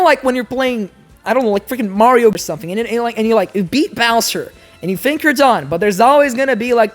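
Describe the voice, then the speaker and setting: A woman delivers casual video game commentary as an essayist. Her pitch sits in a middle range for a woman, and she talks informally with animated emphasis. Music plays behind her voice.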